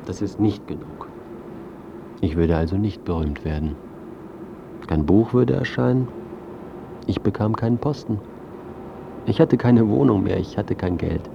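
Radio play lead: self-absorbed, disillusioned writer, clipped and reflective, low pitch, ambience clearly behind. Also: male voice, expressive, a little slow, long pauses. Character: disillusioned male biographer, self-absorbed, adrift, dryly bewildered. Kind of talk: radio drama acting and narration. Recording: muffled, clear background ambience noise.

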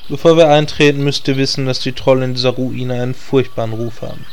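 A man's voice: medium pitch, fairly even intonation, fast talker, slight background noise, natural voice. A man playing a sensible, exasperated magician who leads a fantasy party in a comedy audio drama, with a dry delivery. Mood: explaining.

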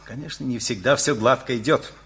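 An actor playing an earnest middle-aged engineer in a radio comedy, musing effusively in an emphatic, rambling way. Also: male voice, mid-range voice, fairly quick, fairly bright tone, breathy edge. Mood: flustered complaining. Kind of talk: Russian radio comedy play acting.

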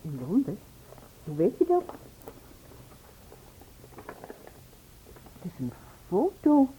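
A woman's voice: mid-range voice, wildly swooping pitch, normal speed, a little hiss or hum. An actress playing a hesitant, loyal woman who is wary of trouble, understated, in a radio play. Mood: uneasy. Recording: dull, band-limited old radio play recording.

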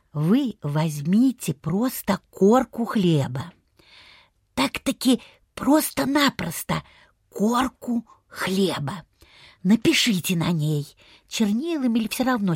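A smug provincial lady in old radio-theatre style. Her voice is husky and her delivery leisurely, confidential and knowing, with quaint folksy turns of phrase. The recording is dry. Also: mid-range voice, no echo, heavily acted. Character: smug, confiding lady neighbour peddling folk cures. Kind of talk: Soviet radio theatre acting.

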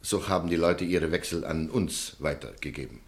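A smooth, quietly persuasive extortioner in a radio play; very low voice. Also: male voice. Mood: coaxing. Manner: soft-spoken, coaxing, measured. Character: smooth, calm male extortioner named Walter. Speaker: radio drama character.

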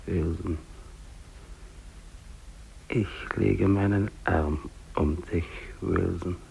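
Exhausted dying explorer, deep gravelly voice, halting resigned monologue, hissy old radio drama recording. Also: male voice, fairly even intonation, a little mellow, heavily acted, low-quality recording. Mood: weary, resigned. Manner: halting, broken phrases with long pauses. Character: dying male English polar explorer, lonely, resigned, exhausted.

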